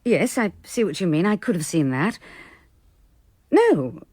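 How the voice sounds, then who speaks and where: An actress playing a composed, well-bred English married hostess in a radio drama, genteel, deliberate and subtly evasive. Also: female voice, on the low side, very animated, darkish tone, no room sound. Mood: suggestive, guarded.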